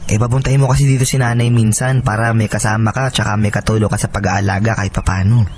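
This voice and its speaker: A man acting as a reluctant young husband in a radio drama. He talks fast and defensively, brushing off his wife, with faint noise behind him. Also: on the low side, little pitch movement, darkish tone, lightly acted.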